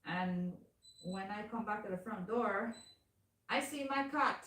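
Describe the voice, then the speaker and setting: A woman's voice: medium pitch, unhurried, recorded from a distance. Chatty woman with a Caribbean accent. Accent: Caribbean English. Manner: animated storyteller, acts out quoted voices. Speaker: nail shop owner. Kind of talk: casual chat while working. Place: nail salon. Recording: echoey room, mic set back.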